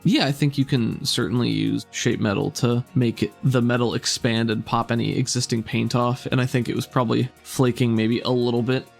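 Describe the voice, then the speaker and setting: Gravelly-voiced man narrating a tabletop game. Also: mid-range voice, normal speed, few pauses, soft background music. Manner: relaxed, descriptive narration with easy asides. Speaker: game master.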